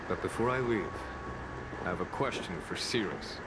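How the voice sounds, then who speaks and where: An actor playing a calm, thoughtful general and sword master in a video game, formal and measured. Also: male voice, on the low side, lightly acted. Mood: composed, firm. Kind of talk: video game dialogue acting. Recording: loud ambient noise behind.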